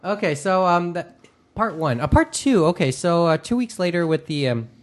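A man hosting a podcast in casual chat, with a halting, rambling delivery full of false starts. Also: a little high, animated. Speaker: podcast host. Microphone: microphone through a mixer.

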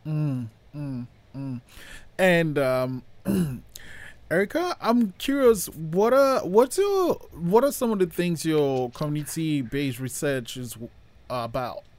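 A man's voice: on the high side, expressive, slow, a little mellow, no echo. A man hosting an interview podcast, hesitant, with frequent fillers and restarts. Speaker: podcast host.

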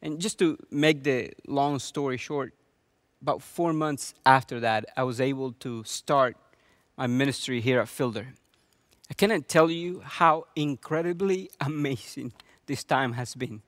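Earnest pastor, second-language English, dry. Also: male voice, medium pitch, unhurried, a bit bright, no room sound. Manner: earnest, with frequent restarts and repetitions. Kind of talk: sermon with personal testimony.

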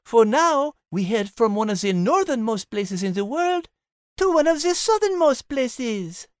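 A woman playing a poised, gently teasing storytelling host with a French accent. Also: a little high, very animated, unhurried, smooth, no room sound, studio quality. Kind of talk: scripted podcast character hosting.

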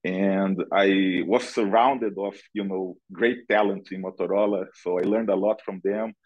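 The deep-voiced Brazilian man you'd hear as a remote guest on an industry podcast, picking his words haltingly over a slightly dull connection. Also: fairly even intonation. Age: middle-aged. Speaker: podcast guest, analytics director. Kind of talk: conversational interview answers.

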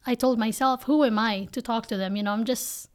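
Female interview guest, Filipino accent, slightly high voice, candid and emphatic storytelling in a conversational style. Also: fairly even intonation, fairly quick. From Philippines. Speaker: company founder and CEO, interview guest.

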